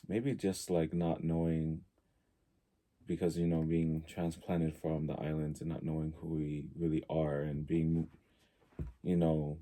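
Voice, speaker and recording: A man in his early forties from Tonga, talking casually and reflectively as a podcast guest, halting as he thinks aloud. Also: extremely low pitch, dark tone. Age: early 40s.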